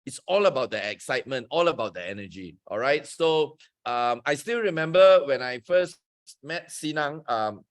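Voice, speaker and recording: A man hosting a motivational talk, speaking with energy and emphasis, his pitch rising and falling sharply. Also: animated, normal speed. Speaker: host.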